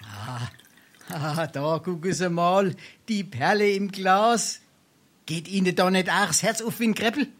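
An actor playing a brazen, silver-tongued sponger and drinker in a Darmstadt dialect stage comedy, speaking South Hessian with mock-pompous flourishes. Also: male voice, high-pitched, a little slow, fairly bright tone. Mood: wheedling, boastful. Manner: florid mock-pompous speech, theatrical sighs.

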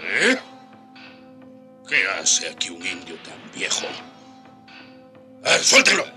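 An actor playing a fierce African rebel leader and escaped slave in a radio drama, brusque, commanding and challenging. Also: male voice, sits low, wildly swooping pitch, takes it slowly, full character voice. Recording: radio drama with faint background music.

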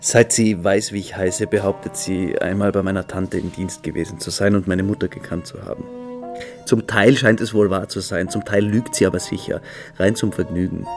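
An actor playing a cool, superior cadet in a radio drama, with a probing, condescending manner. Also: male voice, low-pitched, steady tone, normal speed, soft background music, close to an everyday voice. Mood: cool, dismissive. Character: cool, needling cadet Beineberg, worldly and superior. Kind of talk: German radio drama acting.

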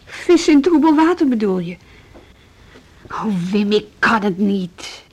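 An actress playing a probing, wary woman teacher in a Dutch radio play, with a husky voice. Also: medium pitch, very animated, slow, exaggerated voice. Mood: anxious, searching. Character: probing, scheming female music school teacher, evasive and wary.

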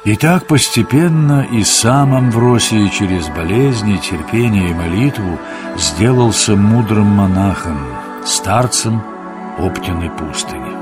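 An older actor playing a wise grandfather, narrating a radio play in a measured, instructive manner. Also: fairly low pitch, expressive. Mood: solemn, instructive. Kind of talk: scripted storytelling narration. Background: prominent new-age music.

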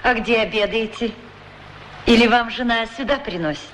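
A woman's voice: on the high side, normal speed, a bit bright, lightly acted. Actress as shy middle-aged cleaning woman, reverberant. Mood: friendly, curious. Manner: slightly embarrassed, polite, chatty. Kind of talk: radio play acting, conversational. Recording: reverberant radio drama recording, background noise.

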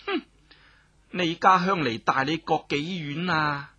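Audiobook villainess, voiced by the narrator: imperious woman, cold menace, scornful delivery, sharp husky tone. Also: mid-range voice, slow, dull audio, full character voice. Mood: scornful, menacing. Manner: scornful snorts, commanding, menacing. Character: cold, cruel, imperious noblewoman passing death sentences.

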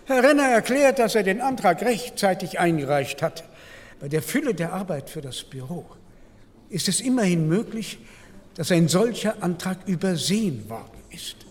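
An orderly male assembly president in a radio play, high in pitch. He chairs with measured, procedural formality. The voice carries through a big, echoing hall. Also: animated. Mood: explanatory. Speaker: actor in a documentary radio play. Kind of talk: dramatised parliamentary debate. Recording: reverberant large hall.

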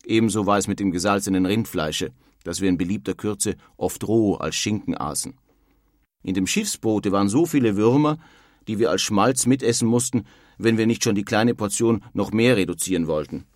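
An actor playing a wryly bitter emigrant from past centuries, reading out letters expressively in a measured way for a radio feature. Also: male voice, fairly low pitch, darkish tone, no echo, studio quality, close to an everyday voice. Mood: wry, sardonic.

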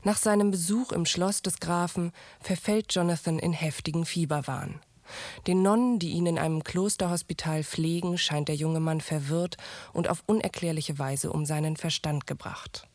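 A woman's voice: lowish voice, steady tone, normal speed, darkish tone, no echo. A woman reading scripted narration that introduces a radio drama.

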